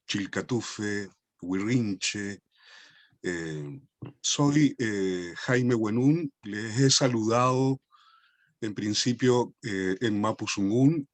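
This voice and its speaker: A man with a Chilean accent, a poet opening his lecture slowly and thoughtfully, with many hesitations over a video call. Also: on the low side, no room sound. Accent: Chilean Spanish. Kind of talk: formal lecture introduction.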